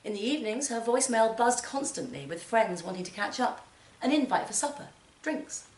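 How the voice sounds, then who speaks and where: A woman giving a measured, expressive live reading of a short story, with deliberate pauses. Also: fairly high pitch. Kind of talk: dramatic literary reading to a live audience. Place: performance space in a library centre. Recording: roomy, distant mic, background noise.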